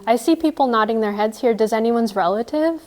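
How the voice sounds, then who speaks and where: A woman's voice: a little high, brisk, darkish tone. A young woman presenting a workshop, explaining history and asking the audience questions in a friendly, engaged way. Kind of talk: workshop talk with audience discussion.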